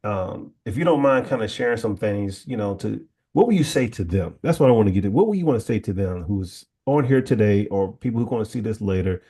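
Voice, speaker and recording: Mellow-voiced male host, encouraging and chatty. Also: on the low side, lively intonation, a little fast, hardly any pauses, slightly rough. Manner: encouraging, rambling, filler-heavy. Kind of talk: casual interview.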